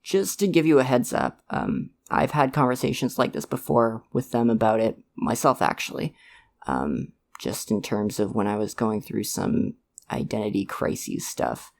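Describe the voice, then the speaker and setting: Man playing a supportive, awkward dad, creaky voice. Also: fairly low pitch, lively intonation, normal speed, darkish tone. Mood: gentle, concerned. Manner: hesitant, reassuring, rambling, earnest. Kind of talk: ASMR roleplay, one-sided conversation with listener.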